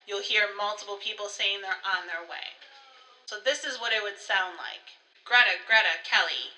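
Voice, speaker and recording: A woman with a very bright, forceful voice talks through instructions like a trainer. She spells out each step in a conversational way and gives examples as she goes. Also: medium pitch, moderate pace, slight background noise, poor audio. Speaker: co-host, school staff trainer.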